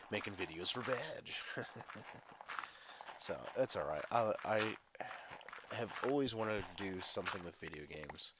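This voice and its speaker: A man with a very deep voice talks through a stuffy head cold, sniffling as he rambles and chuckles over his gameplay. Loud steady noise and clicking sit under the home recording. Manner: rambling, chatty, chuckles often. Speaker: gaming video creator. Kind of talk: casual gameplay commentary.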